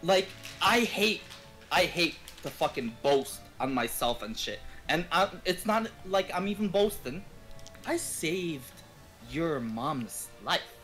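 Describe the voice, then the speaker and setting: A man's voice: on the high side, animated, slow, a bit bright, soft background music. A man from Ontario, chatting casually as a game streamer in a rambling, slangy, swear-heavy way. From Ontario, Canada. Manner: rambling, slangy, profanity-laden. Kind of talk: casual profane chat.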